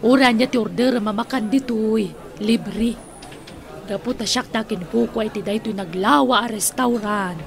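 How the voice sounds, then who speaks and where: A woman's voice: on the high side, moderate pace, vocal fry. A woman playing a wealthy, playful auntie with a Filipino accent in a radio drama, proud and indulgent. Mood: proudly revealing. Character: wealthy, playful, generous auntie who owns businesses.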